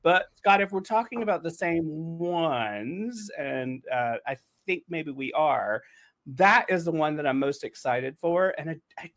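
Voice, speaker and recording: A man's voice: a little high, animated, unhurried, fairly bright tone. A man chatting casually and effusively, with emphatic phrasing, as one of the writers on a podcast. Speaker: audio drama writer and podcast host. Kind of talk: casual chat.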